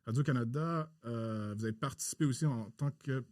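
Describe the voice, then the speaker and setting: A man hosting a podcast interview in a formal, halting way with many pauses. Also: mid-range voice, darkish tone, no echo, studio quality.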